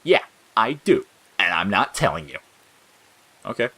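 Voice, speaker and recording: A man playing a gentle, tired young boy in an audio story, calm and terse. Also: on the low side, unhurried, husky, heavily acted, low-quality recording. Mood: quiet, guarded. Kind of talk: audio fiction character acting.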